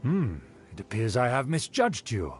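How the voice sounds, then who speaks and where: A man's voice: medium pitch, huge pitch swings, moderate pace, a little mellow, faint music behind. A man voicing a haughty, pompous, vain aristocrat in a video game, speaking in a formal, condescending way. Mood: impressed, grandiose. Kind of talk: video game character acting.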